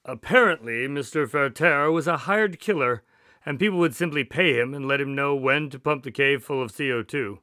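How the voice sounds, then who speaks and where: A man playing an earnest, measured doctor and detective's sidekick in a radio drama. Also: mid-range voice, bright, forceful tone, dry recording, studio quality, natural voice. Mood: earnest storytelling. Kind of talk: radio drama dialogue and storytelling.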